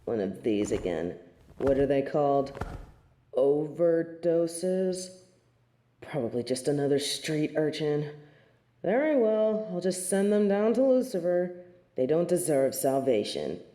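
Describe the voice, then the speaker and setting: A woman's voice: low-pitched, very animated, measured pace, dark tone. A woman playing a snobbish, merciless angel of judgement in an audio drama, speaking formally with imperious disdain. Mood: cold disdain. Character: haughty, merciless female angel of judgement, snobbish and self-righteous. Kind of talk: scripted audio drama acting. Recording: roomy, echoing sound.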